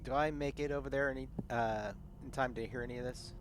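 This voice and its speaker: A man's voice: medium pitch, steady tone, quick pace. A man playing a tabletop game, talking casually in and out of character, with slight background noise.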